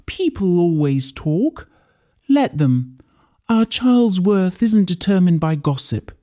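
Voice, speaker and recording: A woman storyteller voicing a calm, reassuring village father in a steady manner. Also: on the low side, takes it slowly, pitched above the performer's own voice. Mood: calm reassurance. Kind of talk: storybook character voice.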